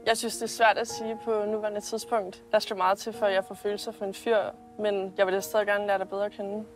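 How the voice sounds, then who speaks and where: A woman's voice: mid-range voice, little pitch movement, faint music behind. A young woman, a reality show contestant, talking hesitantly and hedging her words in casual conversation on a villa terrace at night, with a clip-on mic.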